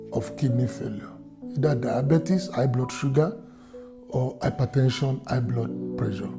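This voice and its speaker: A man from Nigeria telling his own story in a slow, halting, earnest way, with music under his voice. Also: mid-range voice, creaky. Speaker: fundraiser subject. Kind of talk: personal testimony.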